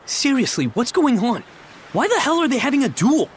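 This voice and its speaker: A man acting a brash, excitable young adventurer in a video game cutscene. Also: extremely high pitch, normal speed, a little hiss or hum. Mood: startled, incredulous.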